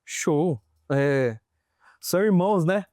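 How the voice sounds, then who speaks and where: A man's voice: a little high, lively intonation, slow pace, darkish tone, no echo, studio quality. Man hosting a university podcast. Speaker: podcast host. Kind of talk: interview hosting.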